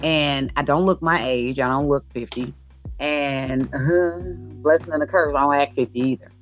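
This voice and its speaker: A woman's voice: deep voice, steady tone, normal speed. A woman in her late forties hosting a casual conversation, blunt and emphatic, repeating her points for emphasis. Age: late 40s. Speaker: podcast host. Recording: dull lo-fi audio, music behind.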